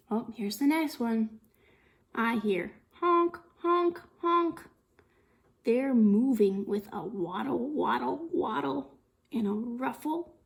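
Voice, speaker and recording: A woman leads an interactive storytime for young children. Her voice is high and very animated, rising and dipping in a sing-song way. She takes her time, drawing words out very slowly and deliberately as she reads and puts questions to her listeners. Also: creaky. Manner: sing-song, slow and deliberate, with exaggerated expression and frequent questions. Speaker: children's storytime reader and teacher. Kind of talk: interactive read-aloud of a picture book for young children.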